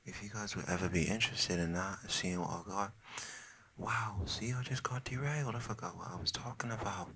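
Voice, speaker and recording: Young guy whispering asmr in a fake british accent, deep voice but kinda bright and crisp, rambles all over. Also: a little fast, a little hiss or hum. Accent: put-on British accent. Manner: soft whispering, rambling and easily sidetracked. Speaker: ASMR creator.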